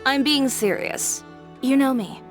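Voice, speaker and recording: A woman's voice: high voice, measured pace, soft background music. A woman playing a blunt, composed young businesswoman in a video game, wry and matter-of-fact. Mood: assured, protective. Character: cool, self-assured, blunt young businesswoman, protective of friends. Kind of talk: video game character acting.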